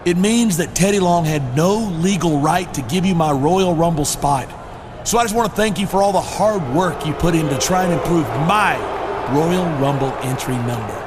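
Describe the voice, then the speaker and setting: Male actor for smug wrestling villain in a game, taunting, high voice, noisy backdrop. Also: moderate pace, lightly acted. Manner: taunting, sarcastic. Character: smug, sneering, menacing schemer wrestler. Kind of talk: video game cutscene acting. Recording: clear background noise.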